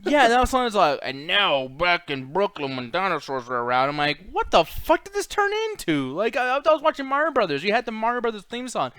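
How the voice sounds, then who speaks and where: High-pitched, blunt, swearing male podcaster. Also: fast talker, few pauses, clean tone, no room sound. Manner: blunt, swearing, exasperated, animated mimicry. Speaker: podcast co-host. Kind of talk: casual profane banter and ranting about movies.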